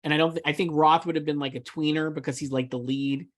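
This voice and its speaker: A man's voice: fairly high pitch, steady tone, quick pace. A man co-hosting a casual chat about film awards, speaking emphatically with some mild swearing. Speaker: awards podcast co-host and pundit.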